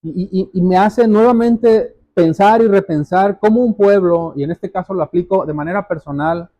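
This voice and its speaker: A male pastor delivers a sermon in a high, mellow voice. He exhorts his listeners earnestly and directly, circling back to key phrases to stress them. The sound is dry, with very little room around it. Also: moderate pace, dry recording. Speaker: pastor preaching to a congregation.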